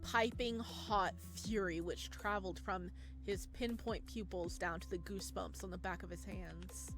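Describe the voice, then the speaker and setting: A woman's voice: medium pitch, unhurried. A woman reading a visual novel aloud with dramatic narration and exclamatory, spontaneous reactions. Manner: expressive, exclamatory. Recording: game music behind the voice. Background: game music.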